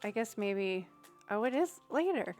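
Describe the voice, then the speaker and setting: Rambling soft-spoken woman streamer. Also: mid-range voice, sing-song, unhurried, faint music behind. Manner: rambling, soft-spoken, thinking aloud with many fillers. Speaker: streamer giving tarot readings. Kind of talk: casual livestream chat with viewers.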